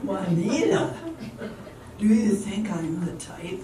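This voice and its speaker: A woman's voice: medium pitch, takes it slowly, warm tone, lightly acted. A woman playing a naive, eager but self-doubting young small-town dancer in a theatrical amateur radio drama, hesitant and wide-eyed. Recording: very echoey hall, distant mic, background noise.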